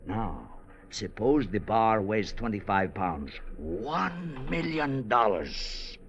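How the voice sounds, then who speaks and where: A man's voice: on the low side, sing-song, slow pace, a bit bright, exaggerated voice. An actor playing a suave, scheming villain in an old radio drama, speaking with oily, formal courtesy and condescension, savoring his words. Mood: smug, savoring. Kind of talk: 1940s-50s American radio drama acting. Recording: dull, noisy vintage radio recording.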